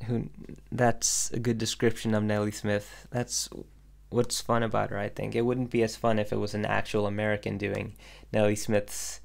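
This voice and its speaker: A young man from Sweden talks to his stream viewers in a casual, hesitant way, full of restarts and fillers. His voice sits low, with a gravelly fry and a flat, even delivery. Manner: hesitant, many ums and restarts, soft-spoken. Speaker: independent animator streaming. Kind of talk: casual livestream chat answering questions.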